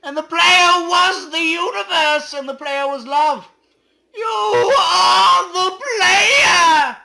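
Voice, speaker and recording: A man giving a comedic dramatic reading in an over-the-top theatrical manner, his voice a little hoarse. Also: very high voice, slow pace, shouting, heavy background noise. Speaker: reader.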